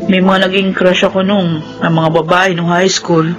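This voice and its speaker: An actress playing a candid young tomboy daughter in a radio drama, plain-spoken and boyish in manner. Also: female voice, lowish voice, little pitch movement, normal speed, close to an everyday voice. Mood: wistful, confiding. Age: young adult. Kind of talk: Filipino radio drama acting. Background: prominent music.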